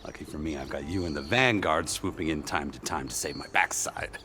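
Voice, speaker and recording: Deep, folksy tinkerer. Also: male voice, moderate pace, sharp tone, soft background music. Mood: chatty, amiable. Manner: folksy, rambling storyteller. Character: chatty, good-natured male tinkerer, mildly grumbling rustic craftsman. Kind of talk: naturalistic video game cutscene acting.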